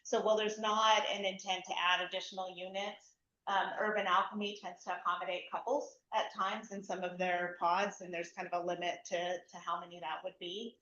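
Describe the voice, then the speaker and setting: A woman representative explaining policy in a measured way at a community meeting. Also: on the low side, level tone, sharp tone, roomy sound, dull audio, a little hiss or hum. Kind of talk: meeting answer.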